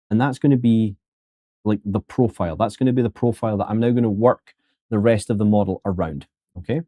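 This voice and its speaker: Low, mellow man chatting through a tutorial. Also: no room sound, clean studio sound. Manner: chatty and joking, talks to viewers as folks. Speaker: 3D sculpting instructor streaming live. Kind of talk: casual live-stream tutorial commentary.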